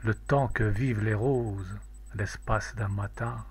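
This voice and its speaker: A man reciting a poem in a measured, declamatory, solemn way. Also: lowish voice, steady tone, husky. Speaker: poetry reader.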